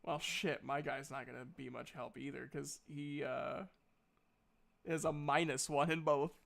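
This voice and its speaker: A man's voice: fairly high pitch, fairly even intonation, unhurried, vocal fry, fairly bright tone. A man casually narrating and bantering as he runs a tabletop roleplaying game.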